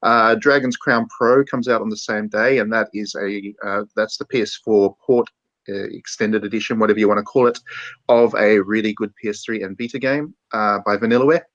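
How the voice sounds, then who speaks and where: A man's voice: lowish voice, steady tone, brisk, a bit bright, no echo. Casual male podcast host, dull lo-fi sound. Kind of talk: casual video game podcast chat.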